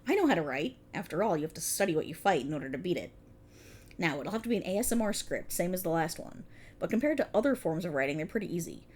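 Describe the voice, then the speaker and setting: A woman's voice: fairly low pitch, big pitch swings, fast talker, a little mellow, slightly rough. A woman playing a prickly, exasperated police officer in a scripted ASMR roleplay, talking in a wry, bossy, explanatory way to an unheard partner. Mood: wry, encouraging. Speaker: voice actor in audio roleplay. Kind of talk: scripted ASMR roleplay, one-sided dialogue.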